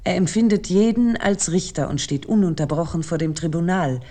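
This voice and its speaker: A woman narrating a scripted literary lecture in a measured, analytical manner. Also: on the low side, dark tone.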